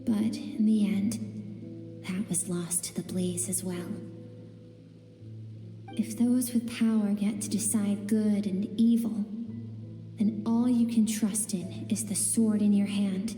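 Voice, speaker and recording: An actress plays the gentle inner self of a swordswoman in a game, slow and soft, with a dark, mellow tone. Her words ring out with a long, roomy reverb. Quiet music plays beneath her. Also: mid-range voice, fairly even intonation, lightly acted. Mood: sorrowful. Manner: soft, sorrowful, pleading. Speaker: game character. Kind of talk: video game voice acting.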